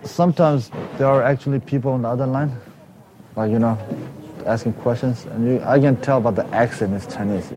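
A man's voice: medium pitch, brisk, background noise. A man, a student organizer, talking casually and a little hesitantly in an interview.

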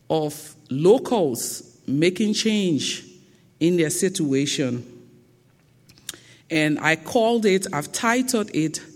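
A woman with a thick Liberian accent giving a public lecture in a slow, deliberate and emphatic way. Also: low-pitched, darkish tone. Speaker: guest speaker. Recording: long reverb of a lecture hall.

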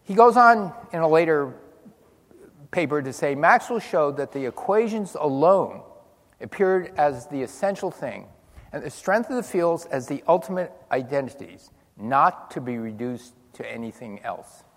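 An older man giving a physics lecture to an audience, telling stories with dry humor and lively demonstrations. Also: fairly high pitch, normal speed. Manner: animated storytelling with dry humor. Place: large lecture hall. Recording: long hall reverb.

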